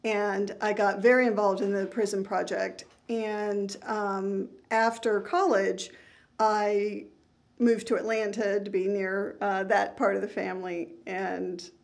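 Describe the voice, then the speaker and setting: A middle-aged woman from New England talks in an easy, earnest way. Her voice has a steady gravelly fry running through it. She sounds like a therapist chatting openly as a podcast guest. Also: mid-range voice, slow pace. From New England, United States. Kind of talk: casual interview conversation.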